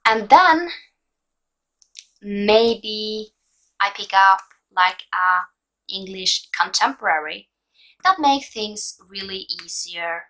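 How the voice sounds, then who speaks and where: A young Norwegian woman in her twenties talks slowly and loosely, full of hesitations and fillers. Her voice is bright and clear, with a Norwegian accent. English is not her first language. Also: medium pitch, rough recording. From Norway. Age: 20s. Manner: relaxed, hesitant, many fillers. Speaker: book vlogger. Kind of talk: casual advice vlog.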